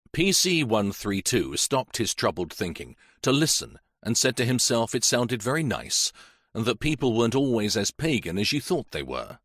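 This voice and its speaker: A man reading a mystery novel aloud in a measured, even storytelling manner. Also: lowish voice, no room sound, clean studio sound. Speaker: audiobook narrator. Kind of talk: scripted narration.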